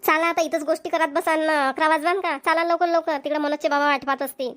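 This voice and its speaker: A woman's voice: sits high, steady tone, quick pace, fairly bright tone. A woman with a Varhadi Marathi accent voicing a bossy, chiding village leader of farm workers in a cartoon comedy. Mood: impatient, chiding. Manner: chiding, hurrying. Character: bossy, punctual village woman leading a farm work gang.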